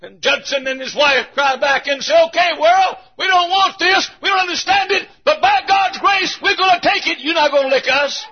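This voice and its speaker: American guy preaching hard, raspy and really high pitched, yelling in rhythm, muffled old sermon recording. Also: moderate pace, few pauses. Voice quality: raspy, straining. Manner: impassioned, shouting, repetitive cadence, dramatic swings from low to loud. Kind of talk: fervent revival sermon.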